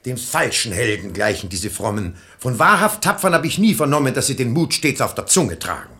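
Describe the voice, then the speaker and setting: An actor playing a reasonable, ironic middle-aged brother-in-law in a German verse radio drama, eloquent and argumentative. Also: male voice, medium pitch, big pitch swings, a little fast, sharp tone. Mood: indignant. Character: sensible, ironic brother-in-law reasoning against false piety.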